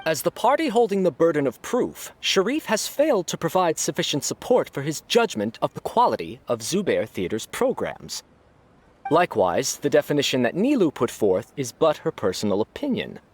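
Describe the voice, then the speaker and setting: A man voicing an impartial arbiter who rules on a debate in a measured, formal way. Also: on the high side, huge pitch swings. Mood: neutral. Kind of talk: game voice acting.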